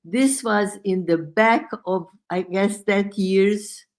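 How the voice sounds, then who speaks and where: A woman who speaks slowly and thoughtfully, co-hosting a casual podcast. She weighs her words with a skeptical edge, her pitch sitting in a middle range. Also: a little mellow. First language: Hungarian. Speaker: podcast co-host. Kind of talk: casual conversation.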